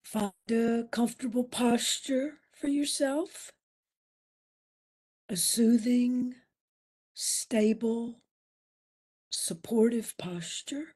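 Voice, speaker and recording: Female meditation instructor, very unhurried, mellow and slightly husky, soothing delivery with spacious pauses. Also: fairly high pitch. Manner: soothing, unhurried, with long pauses between short phrases. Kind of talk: guided meditation.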